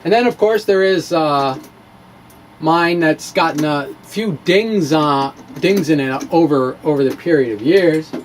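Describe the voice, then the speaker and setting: A man's voice: on the high side, unhurried, slight background noise. A man giving a dramatic reading of his own blog with casual asides, wry and playful with theatrical emphasis.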